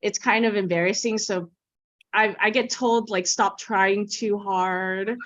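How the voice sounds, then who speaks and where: A woman's voice: mid-range voice, fairly even intonation, unhurried, muffled recording. A woman with an American accent from California, chatting casually as an interviewer over a video call and laughing often. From California, USA.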